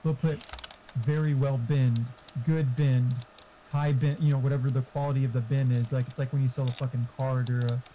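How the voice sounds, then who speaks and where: Male, dark relaxed tone, little pitch movement. Casual, sweary, rambling gamer chat over faint noise and typing. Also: moderate pace, rough recording.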